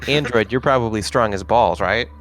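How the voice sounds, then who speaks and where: A man's voice: on the low side, normal speed, a bit bright, faint music behind, low-quality recording. A man chatting casually as a player in a tabletop roleplaying game.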